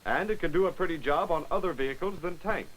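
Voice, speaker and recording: A man giving brisk, wry scripted narration for a 1940s military training film, on an old film soundtrack. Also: fairly high pitch, fairly bright tone, slight background noise, poor audio.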